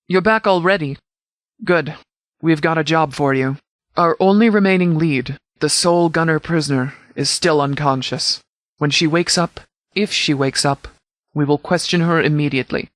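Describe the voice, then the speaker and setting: An actor playing a stern but caring military commander in a video game, speaking in a measured, formal, authoritative way. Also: male voice, on the high side, fairly bright tone, no echo. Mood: businesslike. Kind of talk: scripted game dialogue.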